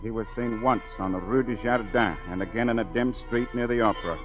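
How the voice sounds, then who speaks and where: Male actor as grave storyteller, medium pitch, measured dramatic delivery, vintage radio drama, prominent music behind. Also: lo-fi sound, close to an everyday voice. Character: grave male opera singer recounting a tragic past. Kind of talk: 1940s radio drama narration.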